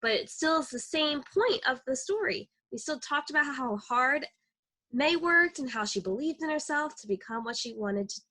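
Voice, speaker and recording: A woman's voice: high voice, animated, normal speed, bright, forceful tone. A young woman teaching children in conversation with a co-host on a video call. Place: indoor room on a video call.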